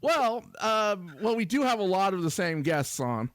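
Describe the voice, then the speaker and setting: A man co-hosting a podcast in casual banter, with a dry, deliberate, playful delivery. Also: sits high, very animated, no echo. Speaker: podcast co-host guest.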